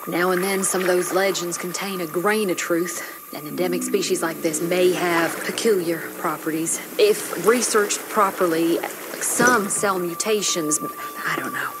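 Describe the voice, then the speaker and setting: A woman's voice: fairly low pitch, steady tone, a little slow, background noise, lightly acted. An actress playing a stern, skeptical woman doctor in a video game, curt and formal. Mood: guarded. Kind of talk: video game cutscene acting.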